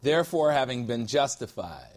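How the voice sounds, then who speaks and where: A male pastor delivering an expository sermon, leaning hard on important words and drawing them out slowly. His voice rises as he builds toward each point. He also jokes lightly with the congregation. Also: medium pitch, big pitch swings. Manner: emphatic, stretches key words slowly for weight. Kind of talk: expository sermon teaching from scripture.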